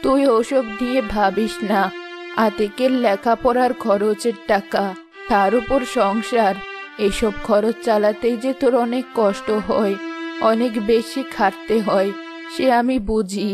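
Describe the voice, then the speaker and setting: A woman playing a gentle, principled village mother in a cartoon moral story, speaking softly and earnestly. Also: fairly high pitch, fairly even intonation, a little slow, over music, natural voice. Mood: tender, weary.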